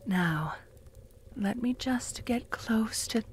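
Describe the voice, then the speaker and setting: Woman playing a slow, whispering possessive vampire. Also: on the high side, heavily acted. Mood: menacing, hungry. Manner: slow, purring, often dropping to a whisper; taunting, with soft mocking laughs. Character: possessive jealous female vampire, cruel, mocking. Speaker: voice actor in audio roleplay. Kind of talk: audio roleplay addressed to the listener.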